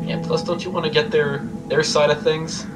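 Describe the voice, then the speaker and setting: A man's voice: on the high side, little pitch movement, moderate pace, over loud music. A man chatting casually as a player in a tabletop roleplaying game.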